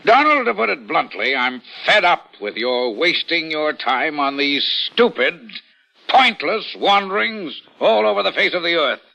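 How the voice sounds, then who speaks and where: An actor playing a pompous, scheming and domineering rich uncle with blustering, emphatic delivery in vintage radio style. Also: male voice, fairly high pitch, sing-song, unhurried, gravelly, sharp tone, exaggerated voice. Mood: stern, indignant. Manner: blustering, emphatic, repeats himself for effect. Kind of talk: 1940s-50s American radio acting. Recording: muffled vintage radio recording.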